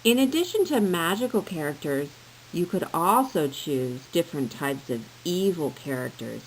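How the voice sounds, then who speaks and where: A woman's voice: low voice, very animated, moderate pace, low-quality recording. A woman teacher narrating a scripted lesson for children in simple, explanatory phrasing. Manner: simple, explanatory phrasing addressed to young learners. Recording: clear background hiss.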